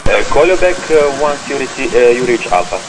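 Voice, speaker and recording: A man's voice: mid-range voice, big pitch swings, a little fast. A man working as an air traffic controller, giving formal, clipped procedural instructions over aviation radio. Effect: aviation radio transmission. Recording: noisy air traffic radio, engine and rain behind.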